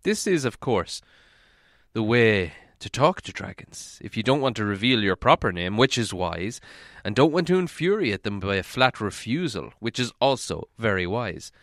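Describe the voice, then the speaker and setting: A man's voice: lowish voice, animated, normal speed, a bit bright, no room sound, clean studio sound. A man reading a storybook aloud as a dramatic, scripted narration. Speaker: audiobook narrator.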